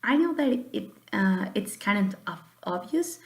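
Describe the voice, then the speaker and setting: A young woman giving an earnest, encouraging speech, sometimes halting and restarting her sentences. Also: medium pitch, animated, creaky. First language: Spanish. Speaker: student giving a class speech. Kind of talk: prepared personal speech.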